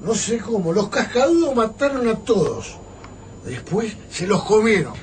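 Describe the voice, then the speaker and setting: A man's voice: sits high, sing-song, a little slow, darkish tone. A man playing a drunken, raving historian in a radio drama, with a Rioplatense Argentine accent and slurred, grandiose speech. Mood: dazed, haunted. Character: drunken, raving male historian, shaken lone survivor. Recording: noisy, slightly distant radio drama scene.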